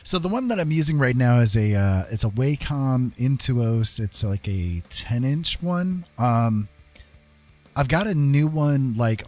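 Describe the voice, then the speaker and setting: A man's voice: medium pitch, a little mellow. A man chatting casually as he streams a painting session, thinking aloud in a hesitant, rambling way. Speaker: streamer giving art tutorials. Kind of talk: casual livestream chat while painting.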